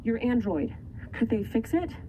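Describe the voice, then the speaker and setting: A woman playing a brisk, sardonic separatist leader in an audio drama, curt and commanding. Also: a little high, animated, noisy background. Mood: businesslike. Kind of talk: scripted audio drama acting.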